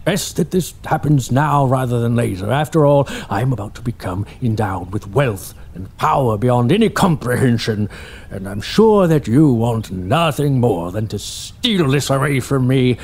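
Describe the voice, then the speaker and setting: A man voices a domineering old scholar in an audio drama, gravelly and husky, his pitch swinging widely. He talks down to everyone with pompous cruelty, and faint music plays underneath. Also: animated, normal speed. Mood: cold contempt. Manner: pompous, cruel, bursts into manic shouting. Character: obsessed greedy old male archaeologist, tyrannical.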